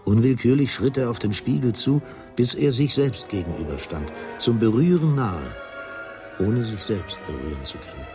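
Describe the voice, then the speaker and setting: A man narrating a literary radio drama in a husky, measured, grave manner. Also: very animated, over music. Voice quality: deep, husky.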